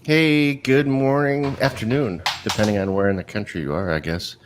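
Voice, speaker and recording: A man's voice: mid-range voice, steady tone, normal speed. A man chatting casually as a panelist on a podcast. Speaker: website editor, panelist. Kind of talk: casual chat. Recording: faint background noise, clicks.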